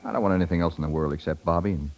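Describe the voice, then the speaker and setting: An actor playing a lovesick, jealous young husband in 1930s radio drama style, agitated and brooding. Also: male voice, deep voice, rapid-fire, darkish tone. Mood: bitter, agitated. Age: young adult.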